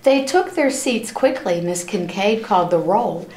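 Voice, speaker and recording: A woman reading a picture book aloud to children in an expressive, animated storytelling style, stopping to address her listeners. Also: mid-range voice. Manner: animated, acts out characters' lines, pauses to ask listeners questions. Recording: roomy, echoing room sound.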